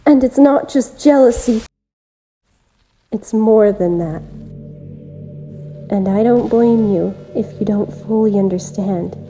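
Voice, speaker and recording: A woman voicing a lonely, obsessive, self-aware visual novel game girl who speaks gently and intimately, straight to the listener, in an amateur voice-acted monologue. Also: medium pitch, faint music behind. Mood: bitter, pleading. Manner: gentle, deliberate, intimate direct address.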